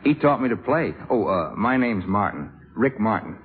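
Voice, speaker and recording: A man's voice: lowish voice, expressive, normal speed, natural voice. Man acting an eager young trumpeter, swooping voice. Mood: eager, nervous. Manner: boyish, stammering when flustered. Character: eager young jazz trumpeter, earnest and headstrong. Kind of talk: 1950s radio drama acting. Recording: tinny old radio broadcast, faint music.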